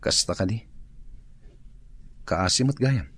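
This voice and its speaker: An actor with an Ilocano Filipino accent playing a gruff, inquisitive employer laid up in hospital, in a radio drama. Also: male voice, low voice, animated, slow, fairly bright tone, full character voice.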